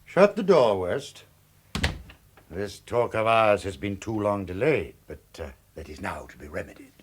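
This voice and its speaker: An actor playing a ruthless, pompous master criminal in a radio drama, speaking formally and with menace. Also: male voice, fairly low pitch, very animated, measured pace, breathy edge, heavily acted. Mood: menacing, controlled.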